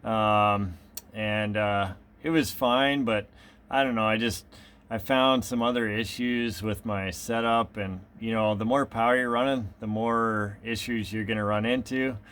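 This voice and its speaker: A man's voice: on the low side, steady tone, a little slow, fairly bright tone, clean tone. A man chatting casually as a radio hobbyist, easygoing and rambling in his delivery. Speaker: amateur radio operator.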